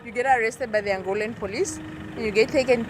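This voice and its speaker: A woman's voice: a little high, brisk, faint music behind. A woman acting as a local guide, chatting casually and playfully. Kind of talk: casual chat.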